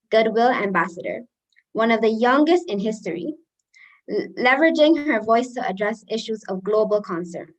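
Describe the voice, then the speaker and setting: Student moderator, female, late teens, Barbadian accent, slightly high voice, reading a prepared bio. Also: low-quality recording. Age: teens, secondary school student. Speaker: young moderator. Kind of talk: reading a biography aloud.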